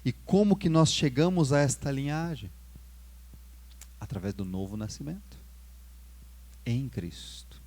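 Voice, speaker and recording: Brazilian pastor preaching with rising emphasis. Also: male voice, mid-range voice, animated, unhurried, pauses often, darkish tone, a little hiss or hum. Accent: Brazilian Portuguese. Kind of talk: sermon.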